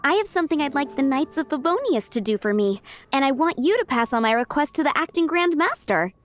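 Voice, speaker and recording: A woman's voice: high-pitched, animated, fairly quick, faint music behind. An actress playing a polite, busy restaurant owner in a video game, earnest and courteous as she asks for help. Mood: relieved. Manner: polite, earnest, eager to ask favors. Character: adult woman restaurant owner, polite and harried.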